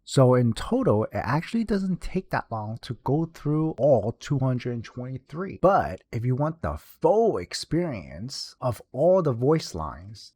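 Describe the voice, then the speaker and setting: A man narrates a game guide in a casual, explanatory way. His voice sits at a middle pitch and moves up and down in a lively way. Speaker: video game guide host.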